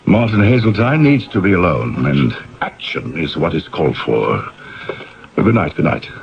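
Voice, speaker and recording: Deep-voiced, brooding, grandiloquent author. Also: male voice, big pitch swings, moderate pace, creaky, exaggerated voice. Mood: sardonic, grand. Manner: theatrical, sardonic, self-dramatizing. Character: brooding, grandiloquent male author, bitter and sardonic. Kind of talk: 1970s radio drama acting. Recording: dull lo-fi 1970s radio broadcast.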